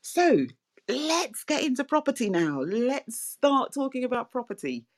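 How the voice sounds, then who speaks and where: A middle-aged woman with a soft voice, hosting a casual property chat over a video call, chatty and laughing often. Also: high voice, sing-song, a little slow. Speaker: property podcast host. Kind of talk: casual online interview chat.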